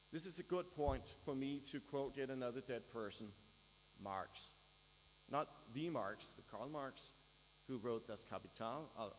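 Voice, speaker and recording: A man with a Danish accent gives a prepared graduation speech, measured and with dry humor. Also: medium pitch. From Denmark. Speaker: graduation speaker. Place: large ceremony hall. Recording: reverberant hall with faint mains hum.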